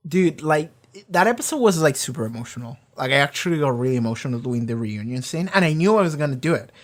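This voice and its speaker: A reaction video host in a casual, rambling discussion, often talking loudly, swearing freely and filling pauses with lots of "like" and "um". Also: medium pitch, expressive. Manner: swears freely, many fillers and restarts; often talks loud.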